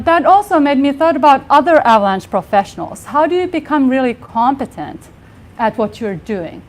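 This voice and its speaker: Soft-voiced woman giving a workshop talk. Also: a little high. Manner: earnest, many fillers and restarts. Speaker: workshop speaker. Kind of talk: conference talk. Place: live workshop room with audience.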